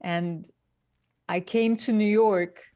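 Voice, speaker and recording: A woman from Turkey, speaking as an interview guest in unhurried, deliberate phrases. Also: medium pitch, fairly even intonation, warm tone, no echo, clean studio sound. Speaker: guest, industrial designer. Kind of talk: conversational interview answers.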